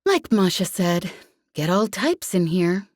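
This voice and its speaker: Brash female smuggler pilot acted by a woman reader, space opera audiobook. Swaggering, sardonic, low register, dry close sound. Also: very animated, takes it slowly, no echo, heavily acted. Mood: smug. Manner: swaggering, sarcastic, casually profane.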